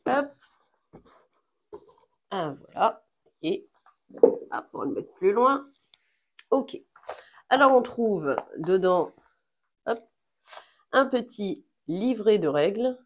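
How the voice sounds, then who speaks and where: Slow-talking woman with a cold. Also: mid-range voice, rough recording. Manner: slow, relaxed, thinking aloud with fillers. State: has a cold. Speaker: board game reviewer. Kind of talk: casual unboxing chat.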